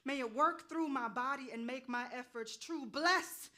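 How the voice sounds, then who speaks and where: A woman's voice: high voice, moderate pace, bright, forceful tone. A woman playing a commanding, devout folk healer with colloquial talk in a staged play reading. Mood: prayerful, fervent.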